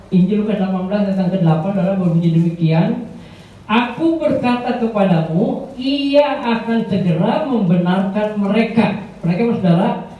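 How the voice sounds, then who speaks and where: A man giving a guest sermon to a church congregation in a rambling way, often calling out to the listeners and growing louder as he preaches. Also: a little hiss or hum. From Indonesia. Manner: rambling, addresses the congregation as "saudara"; grows louder and higher when preaching. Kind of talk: Pentecostal sermon. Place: church hall. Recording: echoey church hall, tinny and far off.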